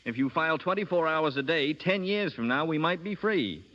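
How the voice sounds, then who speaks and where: An actor playing a wry, cool fugitive with a dry, teasing manner, in 1930s radio drama style. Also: male voice, on the high side, animated, moderate pace, close to an everyday voice. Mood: sardonic, irritated. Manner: dry, mocking. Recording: muffled, hissy 1930s radio broadcast.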